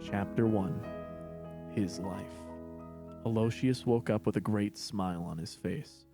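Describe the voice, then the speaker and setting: A man's voice: fairly low pitch, unhurried, music in the background. A man reading a scripted story aloud as its narrator.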